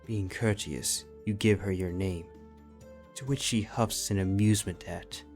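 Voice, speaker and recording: Male narrator, low register, scripted fan fiction storytelling addressed to "you", light music bed. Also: steady tone, measured pace, darkish tone.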